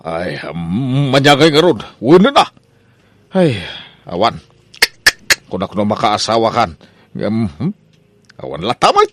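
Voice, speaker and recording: A man's voice: lowish voice, huge pitch swings, unhurried, pauses often, a little hiss or hum. A man with an Ilocano accent playing an exasperated, scolding old father of grown sons in a comedy radio drama.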